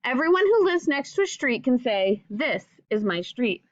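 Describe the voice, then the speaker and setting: A woman reads a picture book aloud as a teacher would for young children. Her voice sits fairly high and moves up and down a lot as she speaks straight to her listeners. The recording is very dry and a little muffled. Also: sing-song, moderate pace, no echo. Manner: addresses young listeners directly with simple questions. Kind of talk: children's read-aloud with questions and asides.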